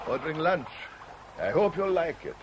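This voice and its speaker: An actor playing a suave, calculating rival in a 1970s radio drama, urbane and faintly mocking. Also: male voice, a little high, lively intonation, normal speed, close to an everyday voice. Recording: noisy old radio broadcast recording.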